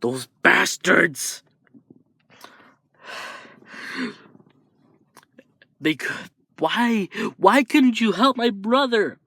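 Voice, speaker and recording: A man voicing a desperate, tearful young boy with a high, strained, pleading voice. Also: lively intonation, measured pace, bright, forceful tone, no room sound, full character voice. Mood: crying.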